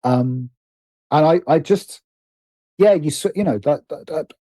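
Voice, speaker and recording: A man with a soft, mellow voice talks as a guest in a relaxed interview. He works through his thoughts animatedly, stopping and restarting phrases. The sound is dry, with little room around it. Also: a little high, no room sound. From Britain. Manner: animated, halting, restarts and repeats phrases as he thinks aloud. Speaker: interview guest, writer and producer. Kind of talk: casual conversational interview.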